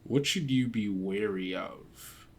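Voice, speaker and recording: A man improvising narration as game master of a tabletop role-playing game, in a halting, conversational way. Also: on the low side, a little mellow, a little hiss or hum.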